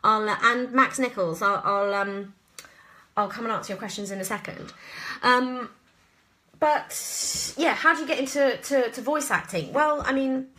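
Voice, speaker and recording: An English woman in her thirties with a bright, forward voice, talking casually in a live Q&A. She is an actress with an expressive, chatty delivery that wanders from thought to thought. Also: on the high side, unhurried, poor audio. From southwest England. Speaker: actress answering listener questions.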